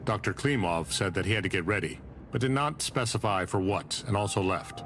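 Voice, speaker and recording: A man's voice: lowish voice, brisk, fairly bright tone, slight background noise. A man narrating a period detective visual novel as a sober storyteller, reading scripted prose. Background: music.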